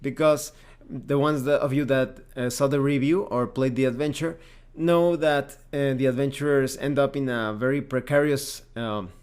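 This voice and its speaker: Man giving a measured review commentary. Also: mid-range voice, fairly even intonation, a little mellow, clean tone. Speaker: tabletop game reviewer and channel host. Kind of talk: semi-scripted review commentary.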